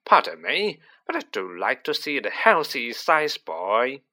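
A man's voice: mid-range voice, very animated, a little slow, sharp tone. A man voicing a boorish, snobbish middle-aged aunt in a storybook, with a blustering, contemptuous delivery. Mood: smug, boozy. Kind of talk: storybook character voice.

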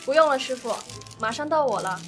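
High-voiced, polite female disciple woman acting. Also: expressive, fairly quick, over music. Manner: brief, respectful. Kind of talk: amateur radio drama acting.

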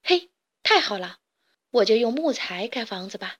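A woman voicing the middle of three little pigs, a stubborn, eager piglet who talks quickly. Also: a little high, animated, fairly bright tone.

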